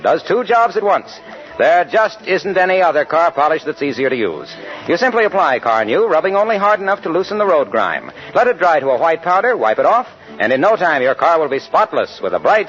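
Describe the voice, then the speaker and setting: A man's voice: fairly high pitch, a bit bright. A man announcing as a polished, persuasive sponsor spokesman in the style of 1940s radio commercials. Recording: dull vintage radio recording.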